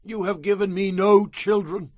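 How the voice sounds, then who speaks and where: An actor playing an earnest patriarch in a dramatized audio Bible, speaking in a solemn, fervent, husky voice. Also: male voice, high-pitched, fairly even intonation, normal speed, a little mellow, dry recording, studio quality, lightly acted. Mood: pleading. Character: Abram, earnest patriarch pleading with his God.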